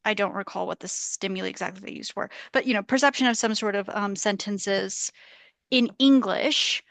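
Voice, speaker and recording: A woman's voice: mid-range voice, moderate pace, creaky, fairly bright tone, dry recording. A woman researcher in an academic panel discussion on a video call, talking hesitantly with frequent restarts and fillers. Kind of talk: academic round-table discussion.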